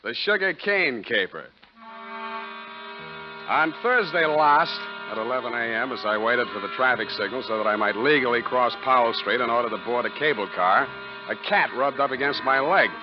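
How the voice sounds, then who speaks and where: Private detective, male actor: wry, wordy wisecracker with deadpan timing, 1940s radio style on a dull, dated recording. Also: medium pitch, moderate pace, music in the background, lightly acted. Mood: wry, dry. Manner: deadpan, mock-pompous, wry.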